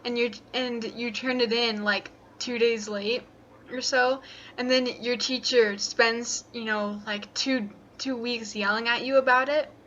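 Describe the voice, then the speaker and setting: A woman's voice: fairly high pitch, fairly even intonation, unhurried, fairly bright tone. A woman giving an informal opinion on dull lo-fi audio with faint noise. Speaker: video maker defending game developers.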